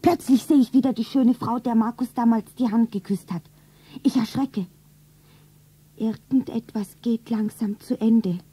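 An actress playing a lovestruck, anxious young shop girl in a romance radio play, breathy and often whispering, dreamy and sentimental. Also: a little high, fairly even intonation, measured pace, mellow. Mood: troubled. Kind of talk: 1970s German radio play, pulp romance.

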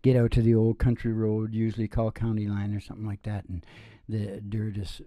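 A middle-aged man with a dark, mellow voice rambles through improvised stage banter. His delivery is folksy and halting, with sentences that trail off, and the sound is close and dry. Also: on the low side, completely flat delivery, no room sound. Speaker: podcast host and singing DJ. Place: small indoor stage with curtain backdrop. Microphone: stand microphone, close.

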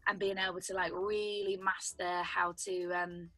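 A young British woman with a husky voice, a songwriter guest chatting casually over a video call from home. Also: on the low side, flat delivery, measured pace, a bit bright, soft background music, rough recording. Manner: chatty, explains with lots of "like" and "do you know what I mean". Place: home room indoors. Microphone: video call webcam.

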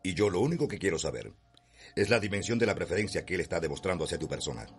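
A man's voice: deep voice, sing-song, very fast, faint music behind. An actor playing a stern, easily exasperated middle-aged father in a Cuban radio drama, commanding and sardonic, with a Cuban accent. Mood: probing, firm.

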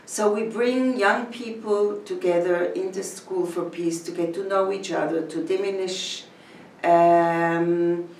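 Older woman, gravelly and roomy. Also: on the low side, steady tone, darkish tone, a little hiss or hum. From Switzerland. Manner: measured, phrase by phrase, with pauses. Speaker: community educator. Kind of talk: talking to camera about her village. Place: indoor room in front of a painted mural. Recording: echoey room, mic at a distance.

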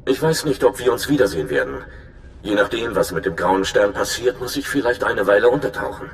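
Mann spielt tiefen, ernsten Spion mit Echo. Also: male voice, lowish voice, expressive, brisk, natural voice. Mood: resigned. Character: earnest, scheming male spy informant. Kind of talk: dramatic video game dialogue in German. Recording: echoey, noisy hologram transmission.

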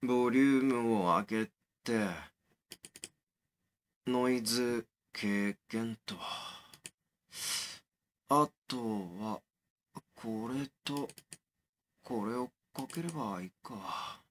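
A man playing an uneasy young man in a horror audio drama, muttering hesitantly to himself in a husky, breathy voice. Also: mid-range voice, very slow, pauses often. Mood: puzzled, curious. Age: young adult. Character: uneasy young man examining a mysterious tape.

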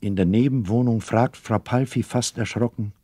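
A man narrating a children's radio play as a storyteller, measured, wry and expressive. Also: medium pitch, slightly rough.

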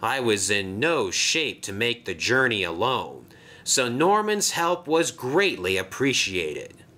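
A man's voice: mid-range voice, sing-song, slow pace, bright, forceful tone, voice pushed up, exaggerated voice. A man voicing a polite, anxious little male steam engine who speaks hesitantly and deferentially.

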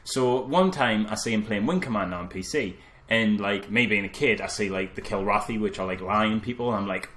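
A man's voice: on the low side, a little fast, a bit bright, a little hiss or hum. A man co-hosting a casual film review podcast, telling anecdotes in a jokey, sweary way. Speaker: podcast co-host.

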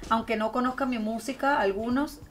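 A woman's voice: a little high, steady tone, fairly quick, faint music behind. A woman with a Venezuelan accent chatting and telling stories expressively as a guest in a radio interview. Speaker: guest singer and songwriter. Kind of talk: casual radio interview storytelling. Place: radio studio. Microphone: close studio microphone with headphones.

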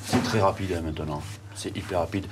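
Deep-voiced chef guy talking while he works, kitchen clatter behind. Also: a little fast, a little hiss or hum. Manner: direct, instructive, hands-on. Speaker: head chef. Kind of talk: casual explanation at work. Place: hotel kitchen.

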